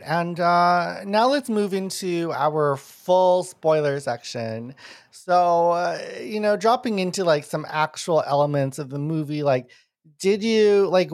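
Low-voiced woman hosting a movie podcast. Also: darkish tone, dry recording, studio quality. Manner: laid-back, agreeable, hesitant fillers. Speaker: podcast host. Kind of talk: casual podcast interview.

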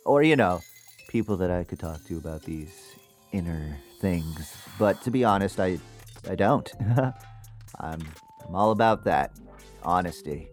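An actor in his thirties playing a lonely, earnest ghost hunter who talks in a halting, self-conscious way, as if confiding in his podcast. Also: sits low, lively intonation, soft background music. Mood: awkward, earnest. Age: 30s. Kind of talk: confessional podcast-style audio drama narration.

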